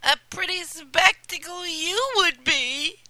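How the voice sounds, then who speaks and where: A woman's voice: very high voice, slow, bright, forceful tone, pitched above the performer's own voice, heavily acted. A woman voicing the rude, proud stepsisters, jeering and scornful in a storybook reading. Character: mocking, rude proud stepsisters, young women.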